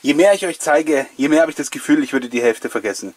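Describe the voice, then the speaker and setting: A man's voice: on the high side, animated, fast talker. Effusive middle-aged man hosting. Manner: effusive, addressing viewers directly. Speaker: host of a collectors' retro show. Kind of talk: enthusiastic chatty presenting to camera.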